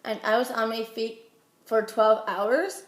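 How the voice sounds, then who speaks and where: A young woman with an American accent speaks in a higher-pitched voice, slightly under the weather with a head cold. She answers interview questions in a chatty, halting way. The recording has a clear roomy echo. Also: fairly even intonation. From Utah, United States. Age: young adult. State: getting over a head cold. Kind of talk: informal phone interview answers.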